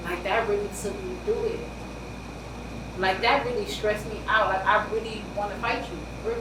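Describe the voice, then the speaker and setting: Animated New York woman, roomy distant sound. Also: mid-range voice. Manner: animated, loud, quick bursts with laughter. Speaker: author guest. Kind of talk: casual interview chat. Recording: roomy, distant mic, faint hiss.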